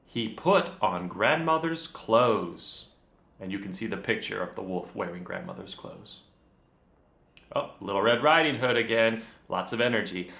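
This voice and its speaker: A man leading a children's read-along lesson, speaking directly to the learner and narrating clearly as a storyteller. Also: lowish voice, a little slow. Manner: expressive, addresses the learner directly. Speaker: children's reading teacher, storyteller. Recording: echoey room.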